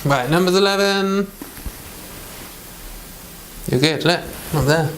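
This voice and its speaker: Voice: medium pitch, slow pace, slight background noise, poor audio. A person reading the Bible aloud as host of an informal read-along, mixing plain chatty asides with formal scripture.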